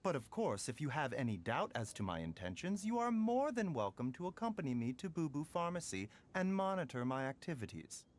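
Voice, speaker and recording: A man voicing a calm, courteous male doctor in a video game, measured and formal, with music behind. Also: fairly high pitch.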